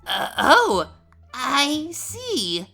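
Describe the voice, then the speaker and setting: A woman's voice: high voice, huge pitch swings, very slow, creaky, sharp tone, faint music behind, full character voice. A woman playing a sheepish, guilty female pony, hesitant and evasive, in a scripted comedy audio drama. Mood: guilty panic.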